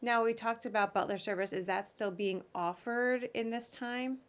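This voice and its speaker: A woman's voice: a little high, fairly even intonation, normal speed. A woman hosting a podcast, interviewing a guest in an informal, chatty, conversational way. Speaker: podcast host.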